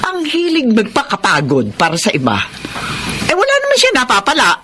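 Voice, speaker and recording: An actress playing a nagging, disapproving middle-aged aunt in a radio drama, blunt and scolding. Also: female voice, on the high side, huge pitch swings, brisk, noisy background. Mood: nosy, disapproving.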